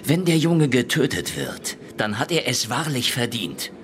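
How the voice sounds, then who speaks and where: An actor playing a cold, threatening law official, haughty and clipped, in a German radio drama. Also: male voice, mid-range voice, very animated, a little slow, a bit bright. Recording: noisy background ambience.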